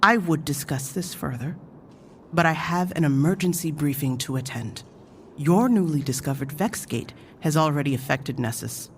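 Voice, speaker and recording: A woman acting a stern, commanding mentor in an audio drama, speaking in a measured, reproachful way. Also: low-pitched, expressive, slight background noise. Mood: cold, disappointed.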